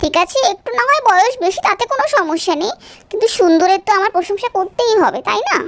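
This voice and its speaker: Woman voicing a very high-pitched, vain young bride. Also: animated, fast talker, fairly bright tone, dry recording, full character voice. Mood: smug, boastful. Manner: boastful, haughty. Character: vain, money-minded eighteen-year-old bride, smug and boastful. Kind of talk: cartoon voice acting.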